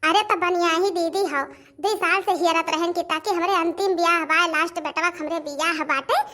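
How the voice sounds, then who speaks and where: A woman's voice: very high voice, steady tone, moderate pace, pushed and bright. A woman voicing village women of a household in a cartoon family comedy, chatting repetitively in a rustic Awadhi accent. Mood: admiring, gossipy. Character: village women of a household, gossiping, admiring and grumbling in-laws.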